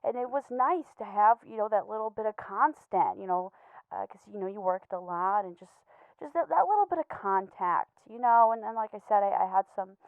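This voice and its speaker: A woman playing an anxious, earnest pet betta fish in a comedy voicemail monologue, stammering and hesitant, gently judgmental and apologetic. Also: mid-range voice, expressive, a little fast, clear, resonant tone, no echo, lightly acted. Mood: wistful. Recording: muffled, tinny phone-like voicemail sound.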